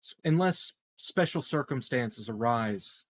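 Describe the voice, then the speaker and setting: A man playing a measured corporate representative in a tabletop roleplay, formal and halting as he reassures. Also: medium pitch, lively intonation, no echo. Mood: measured, reassuring. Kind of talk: in-character improvised tabletop roleplay.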